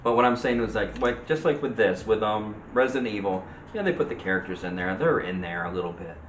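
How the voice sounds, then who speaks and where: A man with a fairly low voice debates as a co-host in casual chat. His phrasing is halting and hesitant as he makes his case, and the room around him is echoey. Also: animated. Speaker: co-host of a movie discussion show. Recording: echoey room with faint background noise.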